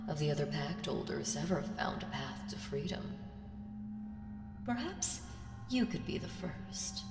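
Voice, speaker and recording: Casting: woman as smug ancient evil being for audio roleplay, seductive and condescending, very slow delivery, drenched in cavernous reverb. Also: low-pitched, full character voice. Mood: ominous, dismissive. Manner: slow, teasing, condescending, breaking into breathy whispers. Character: ancient evil female entity, smug, seductive, toying with mortals. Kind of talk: ASMR audio roleplay monologue. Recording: echoey hall reverb, distant, music behind.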